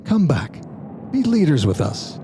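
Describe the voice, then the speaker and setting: Guy playing smug male magician in an audio drama, mellow voice, coaxing, theatrical, bit of noisy ambience behind. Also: a little high, huge pitch swings, a little slow, full character voice. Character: smug, egotistical, coaxing male magician, former stage star.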